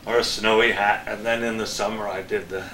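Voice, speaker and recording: A man's voice: lowish voice, level tone, a little fast, sharp tone. An older man chatting casually and reminiscing as a guest on a panel of old broadcasting friends. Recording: echoey room, distant mic, clear noise.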